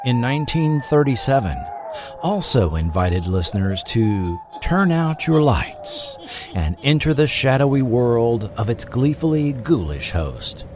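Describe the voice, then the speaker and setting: A man reading a scripted documentary narration as a podcast narrator, in a measured, dramatic storytelling manner. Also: mid-range voice, huge pitch swings, background noise.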